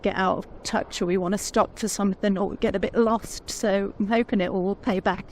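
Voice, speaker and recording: Chatty woman, quiet voice. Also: mid-range voice, fairly even intonation, moderate pace, slight background noise. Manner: chatty, laughs often, naturally quiet voice. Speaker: motorcycle vlogger, pillion rider. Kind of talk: casual chat.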